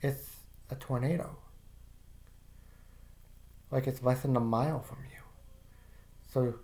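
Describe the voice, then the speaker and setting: A man slowly narrating a guided visualization in the second person, calm and soft-spoken with casual fillers. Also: mid-range voice.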